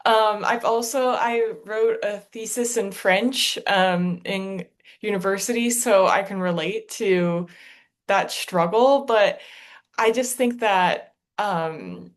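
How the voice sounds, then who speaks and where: A woman answers audience questions as the guest presenter of an online webinar. She speaks slowly and thoughtfully, hedging her advice. Her voice comes through a video call. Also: medium pitch. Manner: thoughtful, hedging, laughs easily.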